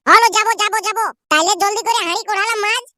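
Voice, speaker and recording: A man's voice: very high voice, rapid-fire, pushed and bright, slight background noise, heavily acted. A man voicing a bustling, boastful village woman in a comic cartoon, with a Purulia accent and a bossy, repetitive way of chattering. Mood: excited, bossy.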